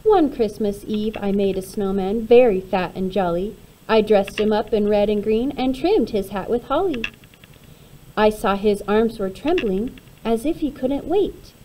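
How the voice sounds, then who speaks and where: A woman reading a rhyming children's picture book aloud in an expressive, measured way. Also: mid-range voice, slight background noise, rough recording. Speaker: storybook reader.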